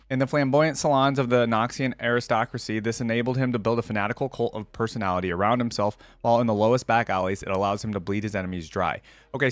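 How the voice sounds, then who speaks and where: A man's voice: mid-range voice, dry recording. A man hosting a reaction video, informally thinking aloud as he reads and comments. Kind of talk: casual reaction commentary mixed with reading aloud.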